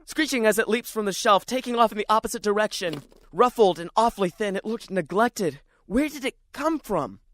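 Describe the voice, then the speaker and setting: A performer voicing an excitable, jittery library regular who tells the story in dramatic, self-questioning first person, as in a radio drama. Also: medium pitch, brisk, close to an everyday voice. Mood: agitated. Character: jittery, excitable library regular narrating his own strange chase.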